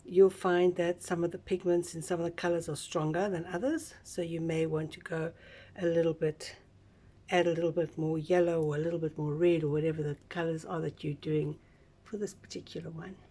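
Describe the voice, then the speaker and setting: A woman with a slightly croaky voice, giving a chatty, relaxed step-by-step craft tutorial with small asides. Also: low voice, fairly quick. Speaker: craft instructor.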